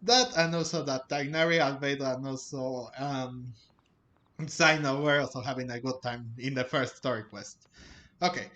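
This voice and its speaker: A man hosting a game playthrough with casual, halting commentary that often circles back and repeats. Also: mid-range voice, fairly even intonation, pushed and bright. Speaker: gaming host. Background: music.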